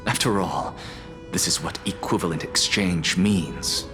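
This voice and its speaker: An actor playing a proud, defiant one-eyed young male scholar in a game, speaking softly and often breathily. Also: low voice, a little slow. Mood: needling. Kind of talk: game voice acting. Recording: roomy echo with music behind.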